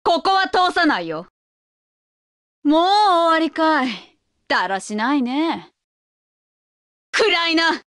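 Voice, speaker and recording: A woman's voice: very high voice, big pitch swings, slow pace, long pauses, a bit bright, no echo, clean studio sound, full character voice. A woman voicing a cool, scornful young female warrior in an anime battle game. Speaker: video game voice actor.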